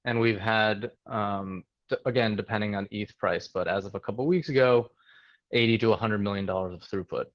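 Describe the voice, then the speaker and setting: A man's voice: on the low side, brisk, lo-fi sound. A man from the New York area, a guest recounting a job interview in a casual, conversational way with frequent fillers and restarts. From New York area, USA. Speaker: interview guest, tech hiring manager.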